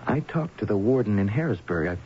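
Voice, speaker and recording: A neighbourly, well-meaning fellow in a vintage radio play. He speaks haltingly in a low, gravelly voice with lively intonation, on a dull recording. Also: a little hiss or hum. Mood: halting, earnest. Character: well-meaning, familiar male acquaintance bringing news. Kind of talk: 1970s radio drama acting.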